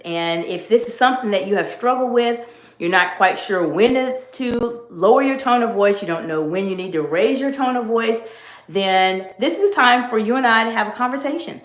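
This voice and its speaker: Middle-aged woman coach, steady emphatic delivery, mid-pitched, roomy echo. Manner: measured, emphatic teaching tone. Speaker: executive coach. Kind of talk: live-stream talk to viewers. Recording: echoey room.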